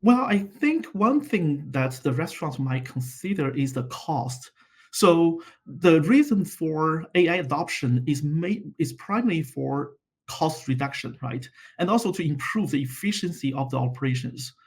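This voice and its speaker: A man's voice: fairly high pitch, expressive, normal speed, husky. A man answering interview questions as an expert guest, analytical and a little hesitant.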